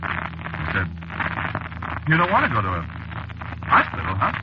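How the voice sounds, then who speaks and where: A man's voice: a little high, wildly swooping pitch, unhurried. An actor playing a streetwise, jittery working-class American cab driver who tells his story in slangy, hesitant fashion, in old radio drama style. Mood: nervous. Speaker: narrator and lead character. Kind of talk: 1940s radio drama acting, first-person narration. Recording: noisy, muffled old radio broadcast recording.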